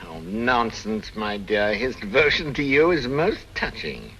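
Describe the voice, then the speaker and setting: An actor playing a suave criminal mastermind, mocking and smooth, heard through a telephone in an old detective drama. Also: male voice, lowish voice, sing-song, a little slow, fairly bright tone, exaggerated voice. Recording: noisy old soundtrack, telephone-like voice.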